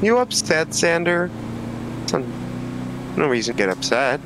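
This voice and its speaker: A man's voice: on the high side, big pitch swings, unhurried, background noise. A stubborn, teasing man in casual voice chat while playing a roleplay game. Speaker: roleplay gamer.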